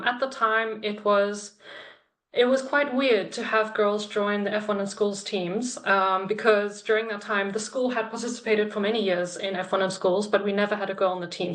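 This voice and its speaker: Earnest young woman explaining on a call. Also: medium pitch. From grew up in China. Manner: earnest, explanatory, steady storytelling. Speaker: programme lead sharing her experience. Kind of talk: webinar talk.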